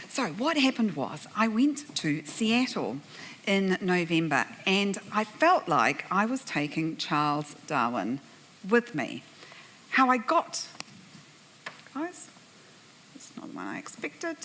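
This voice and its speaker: A woman's voice: on the low side, sharp tone. A woman with a New Zealand accent, moderating a conference panel and telling a humorous, self-deprecating story to the audience with frequent laughs. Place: conference plenary room.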